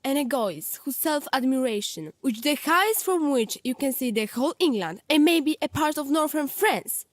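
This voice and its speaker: High-pitched teen girl acted by a woman, feisty, sarcastic, exclamatory, close dry sound, audio drama. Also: expressive, moderate pace, few pauses, no echo. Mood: indignant, sarcastic. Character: feisty, indignant fifteen-year-old girl painter.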